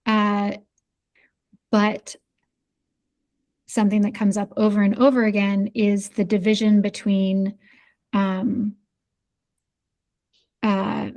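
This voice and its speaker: A woman talks through her thoughts in an unhurried podcast chat. Her pitch sits in the middle and barely moves, which gives her a flat, even delivery. She pauses often, with many "um"s and restarts as she works out what she means. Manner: thoughtful, hesitant, many fillers. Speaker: podcast guest. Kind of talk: casual conversation.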